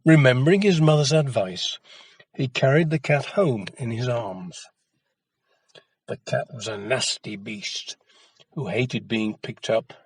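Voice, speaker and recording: Male folktale narrator for children: measured, expressive, husky, recorded close and dry. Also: medium pitch, no room sound. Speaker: storyteller.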